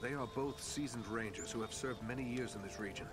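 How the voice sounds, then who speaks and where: A man's voice: mid-range voice, fairly quick, natural voice. A man playing a loyal ranger in a fantasy game, speaking in a formal, assured way. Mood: steady assurance. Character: steady, loyal human ranger. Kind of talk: fantasy video game cutscene acting. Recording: music underneath.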